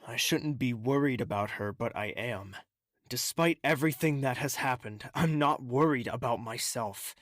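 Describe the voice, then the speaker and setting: A man's voice: medium pitch, moderate pace, fairly bright tone, dry recording, clean studio sound, natural voice. A man playing a troubled, self-doubting young royal in an audio drama, with an earnest, hesitant, introspective manner. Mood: anguished, brooding.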